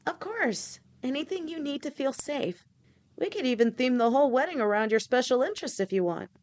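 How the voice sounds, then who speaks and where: A woman voicing a gentle, protective snake-woman girlfriend who talks softly and reassuringly to her partner in an audio roleplay. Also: high-pitched, animated, fairly quick. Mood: tender. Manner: soft, reassuring, speaks directly to a partner.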